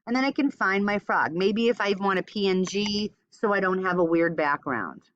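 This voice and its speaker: A woman's voice: mid-range voice, expressive, moderate pace, a bit bright, no echo. Woman giving a casual tutorial, dull lo-fi sound. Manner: informal, thinks aloud while demonstrating. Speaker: teacher giving a software tutorial. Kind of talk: casual screen-recorded step-by-step tutorial.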